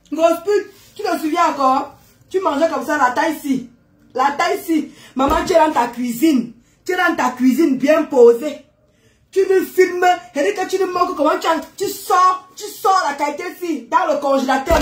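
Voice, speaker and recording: A woman's voice: sits high, a bit bright. A woman with a head cold, chatting casually on a live stream, greeting viewers, teasing and exclaiming with frequent laughs.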